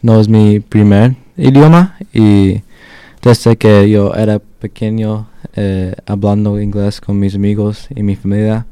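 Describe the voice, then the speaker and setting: A teenage boy speaks slowly and haltingly in a casual group chat, often pausing with "um". His voice is low, dark and smooth, with little rise and fall. The sound is dry, with no room echo. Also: steady tone. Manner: hesitant, halting with "um". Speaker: student.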